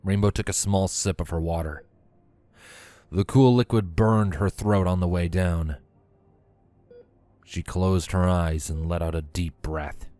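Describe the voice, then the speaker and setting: A man's voice: low voice, fairly even intonation, a little slow, pauses often, dark tone. A man reading scripted story narration as the narrator of a fan fiction tale. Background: faint music.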